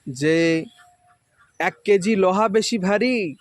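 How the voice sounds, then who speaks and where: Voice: mid-range voice, slow, dry recording. A quiz host, informally questioning children and patiently explaining and repeating the answers.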